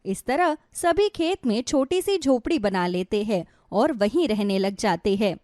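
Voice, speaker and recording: A woman's voice: fairly high pitch, animated, measured pace, darkish tone, dry recording, studio quality. A woman narrating a scripted moral story as its storyteller.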